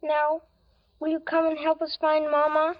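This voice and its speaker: A woman's voice: high-pitched, completely flat delivery, a little slow. A woman voicing a creepy, menacing child in a 1940s radio drama, speaking in a coaxing sing-song. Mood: eerie coaxing. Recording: dull old radio broadcast with organ music.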